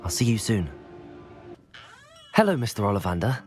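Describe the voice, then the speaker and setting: A man's voice: fairly low pitch, big pitch swings, normal speed, a little mellow, soft background music. A man playing a polite, determined young wizard student in a video game. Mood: earnest, resolute. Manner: polite, resolute.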